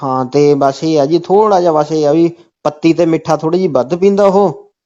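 A man's voice: a little high, a little fast, warm tone. A man with a Punjabi accent making a comic phone call, talking insistently and falling back on the same stock phrases. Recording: dull, lo-fi phone call recording.

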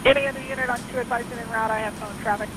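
A woman working as a fire dispatcher, making brisk procedural calls over a radio channel. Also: fairly high pitch, fairly even intonation, background noise.

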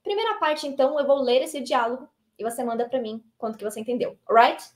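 A young Brazilian woman teaching English online from a small room, lively and encouraging, reading the English lines out slowly. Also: high voice. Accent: Brazilian Portuguese. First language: Portuguese.

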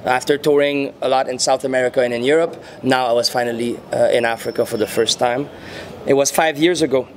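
A man in his thirties speaks English with a Quebec French accent, French being his first language. He talks slowly and thoughtfully, with many ums, into handheld mics at an indoor venue. Faint noise hangs behind him. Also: medium pitch, fairly even intonation. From French-speaking Canada. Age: 30s. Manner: unhurried, searching for words, frequent fillers. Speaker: interviewee, muralist and rapper. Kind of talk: casual interview answers. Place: indoor venue before a painted backdrop. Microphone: handheld mics held near the chin.

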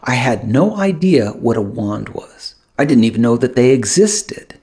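A man narrating a first-person audiobook in an expressive storytelling manner, with dramatic pauses. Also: medium pitch, breathy edge. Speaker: author narrating his own audiobook.

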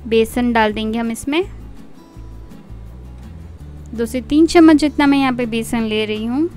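A woman with a high, light voice talks viewers through a recipe step by step in a calm, explanatory tone. Her voice-over sits over soft background music. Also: brisk. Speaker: cooking channel host.

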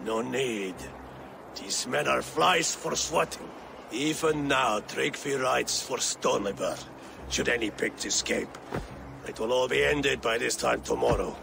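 An older actor playing an aging, proud and warm Viking chieftain in a video game, with a gravelly, weathered voice. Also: male voice, mid-range voice, a little slow, background noise. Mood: assured. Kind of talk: video game cutscene acting.

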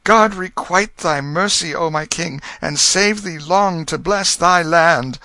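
A man's voice: on the high side, lively intonation, measured pace, sharp tone, no room sound, pitched above the performer's own voice, heavily acted. A man voicing a humble, earnest young pauper boy in an audiobook, meek and pleading in archaic speech. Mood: overjoyed relief. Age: child figure. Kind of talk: audiobook character voice.

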